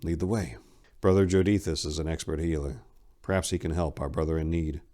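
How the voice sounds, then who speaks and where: Guy doing a grim suspicious monk warrior, deep voice, short serious lines, storybook reading. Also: fairly even intonation, a little fast, mellow. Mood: grave, soft. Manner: terse, grave, pressing. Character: stern, skeptical warrior monk questioning his brothers.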